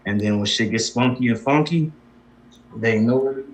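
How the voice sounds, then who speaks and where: Fast-talking streetwise rapper guy. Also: mid-range voice, low-quality recording. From Arizona. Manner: streetwise slang, laid-back, repeats himself. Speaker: independent rap artist, guest. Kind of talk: casual interview chat.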